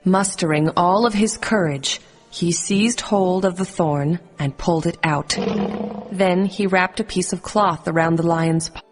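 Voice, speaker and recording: A woman reading scripted narration for a children's animated story. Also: lowish voice, unhurried. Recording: music underneath.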